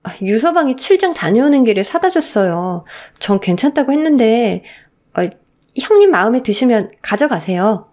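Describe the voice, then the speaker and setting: A woman's voice: a little high, very animated, moderate pace, a little mellow. A woman voicing a meek young wife, polite and wistful, in a radio story drama. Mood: meek, defensive.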